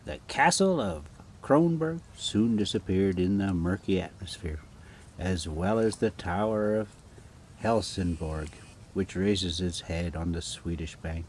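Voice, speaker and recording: A man reading a story aloud casually and haltingly, stumbling over foreign names. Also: deep voice, a little mellow. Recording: noticeable background noise.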